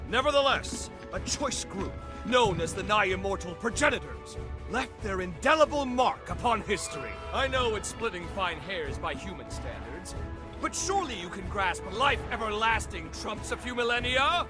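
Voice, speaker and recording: An actor voicing a pompous, eccentric scientist in a video game, with florid, condescending, theatrically polite speech. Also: male voice, sits high, very animated, moderate pace, music in the background. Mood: lecturing. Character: eccentric, self-important revenant scientist, a theatrical lecturer. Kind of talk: video game cutscene acting.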